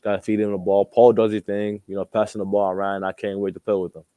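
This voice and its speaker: A young man from Australia answers interview questions in a low, mellow voice. He talks casually and informally, circling back over phrases, in a dry, close recording. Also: flat delivery, fast, no room sound. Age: young adult. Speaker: college basketball player, interview guest.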